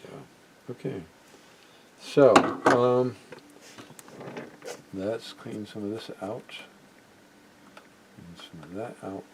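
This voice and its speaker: A man's voice: on the low side, drawn-out words, long pauses. A middle-aged or older man giving an unscripted hands-on demonstration, musing hesitantly and often trailing off mid-thought. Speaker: vintage electronics hobbyist demonstrating equipment. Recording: home recording with background noise.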